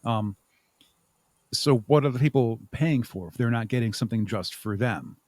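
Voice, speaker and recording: A man talking casually and thoughtfully as an interview guest, with halting phrasing. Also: mid-range voice, animated, darkish tone, no room sound. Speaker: podcast guest, audio drama creator.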